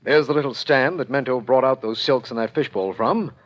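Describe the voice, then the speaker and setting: An actor playing a detective's dry, practical companion, speaking matter-of-factly in a 1940s radio drama. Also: male voice, mid-range voice, a little fast, natural voice. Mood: puzzled. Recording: dull, lo-fi 1940s radio recording.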